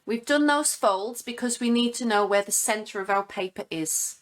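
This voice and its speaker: Fairly high-voiced female craft instructor. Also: moderate pace. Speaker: guest craft presenter. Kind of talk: step-by-step craft tutorial. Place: living room at home.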